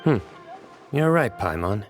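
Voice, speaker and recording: A man playing a stern, analytical young law enforcer in a video game, speaking in a serious, deliberate way. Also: fairly low pitch, sing-song. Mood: grave. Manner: deliberate, serious, measured deduction. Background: faint music.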